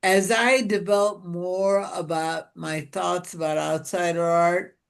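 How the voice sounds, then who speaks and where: A woman's voice: lowish voice, steady tone, vocal fry. A woman from Pakistan hosting a casual interview over a video call, talking in a musing way with many restarts. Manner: slow and musing, with many restarts and "you know". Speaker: interviewer and show host.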